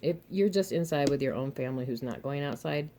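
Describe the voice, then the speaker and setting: Hoarse chatty woman giving frank advice. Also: very deep voice, lively intonation, brisk, mellow, slight background noise. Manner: chatty, frank, laughs often, mild cursing. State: a little hoarse and throaty. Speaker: vlogger, single mother and reseller. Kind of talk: casual advice monologue to camera.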